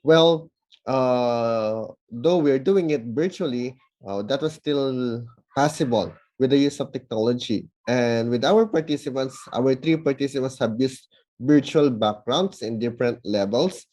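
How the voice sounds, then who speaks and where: A man giving formal, measured feedback as a judge, working through numbered points. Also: mid-range voice, darkish tone. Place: online event recording.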